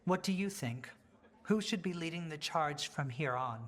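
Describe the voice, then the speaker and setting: An actress playing a determined female rebel leader in a video game, earnest, measured and authoritative. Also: sits low, animated. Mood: resolute. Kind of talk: video game cutscene acting.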